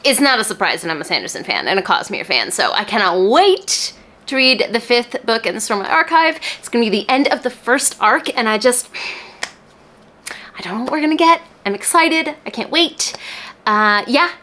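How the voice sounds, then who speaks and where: A woman talking casually to camera as a book reviewer, in an animated, emphatic, chatty way. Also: a little high, fairly quick. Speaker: book reviewer and video host.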